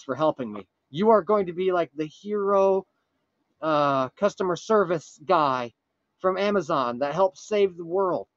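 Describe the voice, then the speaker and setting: A man playing a frantic, earnest time traveler from the future on a prank call, urgent, pleading and halting with dramatic pauses. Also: a little high, dry recording, dull audio, natural voice. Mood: solemn pleading. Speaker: prank caller. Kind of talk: improvised comic prank phone call.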